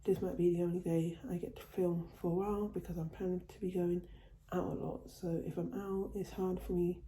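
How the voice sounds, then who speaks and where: Woman, home vlogger, very dark tone, recovering from a cold, roomy echo. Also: on the low side, flat delivery, brisk. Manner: chatty, repeats and restarts phrases. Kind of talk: casual haul video. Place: at home, indoors.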